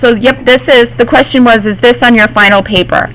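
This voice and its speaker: A woman art teacher, slightly hoarse from losing her voice, gives a classroom demonstration and often checks in with her students. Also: a little high, fairly even intonation, fairly quick, fairly bright tone, a little hiss or hum, low-quality recording. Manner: reassuring, checks in with "okay?".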